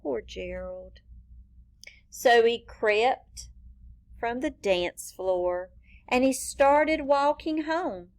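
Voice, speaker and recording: Female children's storyteller, higher-pitched voice, unhurried and teacherly, expressive read-aloud with asides. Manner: deliberate teacherly phrasing with pauses and dropped asides to listeners. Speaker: children's read-aloud reader pointing out rhyming words. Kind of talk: expressive picture-book storytelling for young children.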